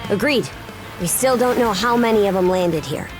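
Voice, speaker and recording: An actress voices a young woman adventurer in a fantasy game cutscene. She is resolute and speaks in a brisk, matter-of-fact way at a middling pitch. Music plays clearly behind her. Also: animated, natural voice. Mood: alert, businesslike. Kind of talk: video game cutscene voice acting.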